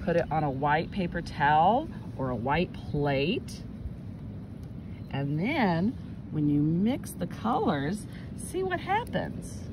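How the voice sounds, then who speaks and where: Expressive, slow-paced woman teacher in noisy wind. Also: deep voice. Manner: exclaiming, gasping, coaxing children with questions. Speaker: teacher of young children. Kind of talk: hands-on demonstration for children and parents. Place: outdoors in wind and sun. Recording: windy outdoor phone recording with noise.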